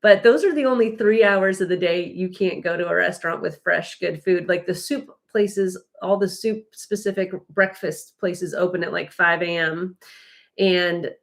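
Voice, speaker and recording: A woman's voice: fairly low pitch, moderate pace, vocal fry. An American woman co-hosting a live travel stream, chatting casually and explaining things in a rambling, chatty way. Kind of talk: casual chat, giving travel tips.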